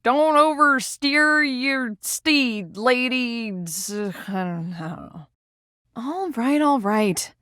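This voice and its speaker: A woman's voice: fairly high pitch, lively intonation, very slow, bright, forceful tone, no room sound, studio quality, full character voice. A woman playing an easygoing, teasing, party-loving friend in a video game, casual, joking and a little rambling. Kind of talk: video game character voice acting.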